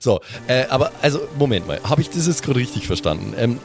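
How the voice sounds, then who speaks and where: A man's voice: medium pitch, big pitch swings, quick pace, a little mellow. A man improvising in-character banter as a player on a tabletop roleplay podcast. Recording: clear music playing behind the voice. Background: music.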